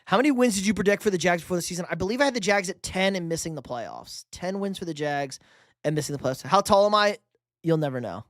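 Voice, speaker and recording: Young man, sports stream host, slightly high voice, loose profane banter, dry close sound. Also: lively intonation, quick pace, dry recording. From New York. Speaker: livestream host reading chat. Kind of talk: casual live stream chat.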